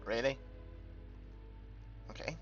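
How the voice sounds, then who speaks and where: A man from the UK giving a casual, unscripted game walkthrough, rambling and hesitant as he thinks aloud. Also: slow pace. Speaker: gamer making a game tutorial. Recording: muffled, dull recording with background music.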